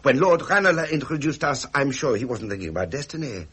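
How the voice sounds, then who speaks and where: A man's voice: medium pitch, big pitch swings, fast talker, fairly bright tone, breathy edge, full character voice. A man playing a suave, pleasure-loving Victorian prince in a British radio drama, with a jovial, aristocratic manner and a British accent. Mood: tender, flirtatious.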